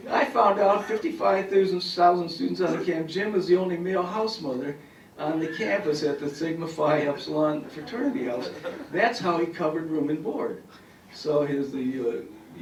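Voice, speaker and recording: An older man, a retired professor, telling rambling stories at a farewell event, his voice raspy and hoarse from bronchitis. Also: fairly high pitch, normal speed, far from the mic, slight background noise. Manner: rambling anecdotes with frequent fillers. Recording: echoey hall recording from afar.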